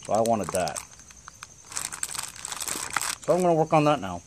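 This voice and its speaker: A man hosting a repair vlog, talking casually through hands-on work in a halting, thinking-aloud way with frequent "you know" tags. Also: medium pitch, big pitch swings, darkish tone, slight background noise. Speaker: host of a DIY repair vlog. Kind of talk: casual hands-on tutorial narration.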